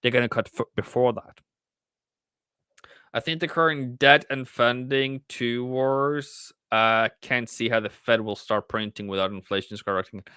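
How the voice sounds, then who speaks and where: A man's voice: medium pitch, normal speed, bright, forceful tone, clean tone, no room sound. A man with a Norwegian accent, hosting a live trading stream, thinking aloud with many restarts and fillers and reading out chat. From Norway. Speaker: trading livestream host. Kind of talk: casual livestream commentary.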